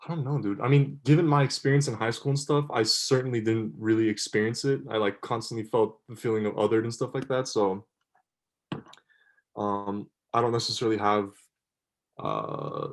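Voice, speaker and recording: Unsure, rambling low-voiced young man. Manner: rambling, unsure, says dude. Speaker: interviewee. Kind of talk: casual interview answer.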